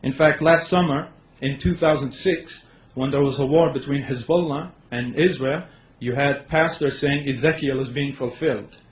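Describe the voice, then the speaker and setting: A man's voice: medium pitch, moderate pace. Nervous young man debating in echoey hall. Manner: nervous at first public debate, earnest. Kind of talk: formal debate presentation. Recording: echoey hall, faint background noise.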